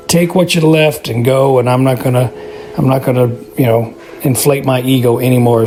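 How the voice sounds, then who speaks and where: A man giving casual spoken feedback to a podcast, rambling and halting in a conversational way. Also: medium pitch, darkish tone. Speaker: podcast listener sending audio feedback.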